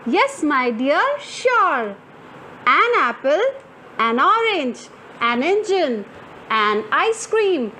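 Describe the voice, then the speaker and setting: A woman's voice: high-pitched, big pitch swings, very slow, bright, forceful tone, a little hiss or hum, full character voice. A woman voicing Mister An, a friendly letter character, chanting in a sing-song way for children. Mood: gentle.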